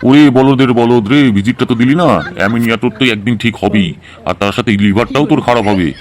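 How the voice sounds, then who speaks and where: A man's voice: medium pitch, fast talker, background noise, lightly acted. A man playing an exasperated, money-minded doctor in a comic cartoon, with a blunt, scolding, colloquial way of talking. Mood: annoyed, scheming. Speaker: cartoon voice actor. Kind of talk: comic Bengali cartoon dialogue.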